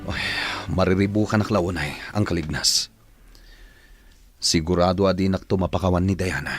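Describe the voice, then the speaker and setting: Low, husky remorseful man. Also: fairly even intonation, moderate pace, no echo, faint music behind. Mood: worried. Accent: Ilocano. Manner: anxious, earnest. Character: troubled, remorseful man longing for forgiveness. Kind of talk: Filipino radio drama acting.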